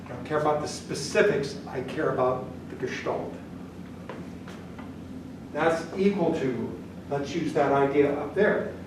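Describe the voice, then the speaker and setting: A man's voice: on the high side, unhurried, long pauses. An older man whose first language is German, lecturing on statistics at a whiteboard in a casual, blunt way that keeps checking in with the class. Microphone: camera at the back of the room. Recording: echoey classroom from far off, noisy.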